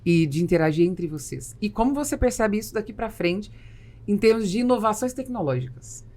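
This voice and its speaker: A woman's voice: mid-range voice, animated, brisk, warm tone. A woman co-hosting a podcast, asking questions and adding short remarks that complete others' sentences. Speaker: podcast co-host. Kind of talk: podcast interview.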